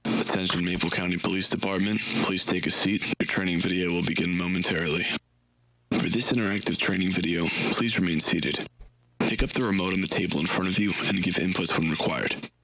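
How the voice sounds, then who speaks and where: A man playing a stern, impersonal police training-video narrator, speaking in formal, measured instructions for an analog horror game. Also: low-pitched, steady tone, a bit bright, poor audio. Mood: formal, ominous.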